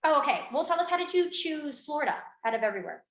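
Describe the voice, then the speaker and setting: Fast, lively woman interviewer. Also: high voice, reverberant. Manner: chatty, quick reactions and exclamations. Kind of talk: casual celebrity interview.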